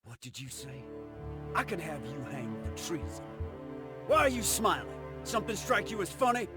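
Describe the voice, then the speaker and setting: An actor playing a haughty, touchy American cavalry officer in a game cutscene, pompous and commanding. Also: male voice, a little high, very animated, moderate pace, over loud music. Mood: outraged. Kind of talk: video game cutscene acting.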